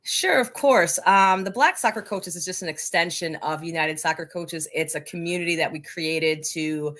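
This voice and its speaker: A woman's voice: fairly low pitch, moderate pace, fairly bright tone. A woman with an American accent talking casually as an interview guest. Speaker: podcast guest, coaching organization leader.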